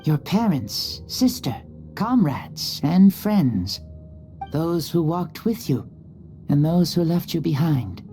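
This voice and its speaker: A man playing a noble old warrior king in a video game, speaking in a proud, gracious, formal and measured way. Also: on the high side, lively intonation, dark tone, soft background music. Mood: gentle, knowing. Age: older. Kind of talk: dramatic game dialogue.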